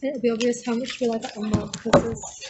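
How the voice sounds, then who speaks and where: A woman's voice: fairly high pitch, steady tone, fast. A woman student, chatting casually in a group discussion. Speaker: student planning a radio drama. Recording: clearly noisy room recording.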